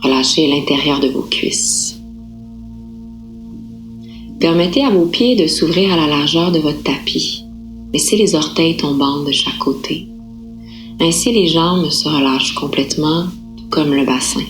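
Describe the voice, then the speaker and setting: Low-voiced woman guiding a calm relaxation. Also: faint music behind, low-quality recording. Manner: calm, unhurried, with gentle pauses. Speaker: yoga nidra instructor. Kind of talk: guided relaxation meditation, slow and soothing instruction.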